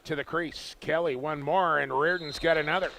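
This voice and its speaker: A man calling lacrosse play-by-play in rapid, jokey bursts. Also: a little high, steady tone, vocal fry, bright, forceful tone, a little hiss or hum, low-quality recording. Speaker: play-by-play commentator. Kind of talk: sports commentary.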